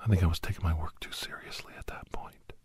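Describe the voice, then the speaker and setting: A man playing a weary, confiding doctor who hunts shapeshifters, telling his story in a hushed, halting, breathy ASMR style. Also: low voice, fairly even intonation, close to an everyday voice. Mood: hushed, reminiscing. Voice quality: breathy, often whispered.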